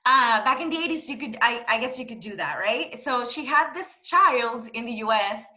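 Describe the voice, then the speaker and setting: A bright-toned woman in her thirties from Panama talks things through slowly, pausing on lots of fillers as she reflects. Her voice sits a little high and comes over a dull, lo-fi video call line. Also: lively intonation. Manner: thoughtful, halting, many fillers. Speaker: panel guest. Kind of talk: reflective conversation.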